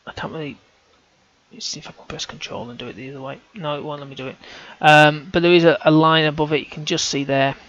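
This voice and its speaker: A man's voice: on the high side, flat delivery, quick pace, fairly bright tone, muffled recording. A man talking through on-screen images, casual and hesitant, often restarting his sentences as he thinks aloud. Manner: hesitant, self-correcting, casual. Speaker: amateur researcher.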